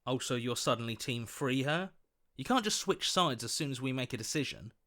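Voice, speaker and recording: A man voicing an earnest, hopeful young hero in a video game, expressive and quick to protest. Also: mid-range voice. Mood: earnest, indignant.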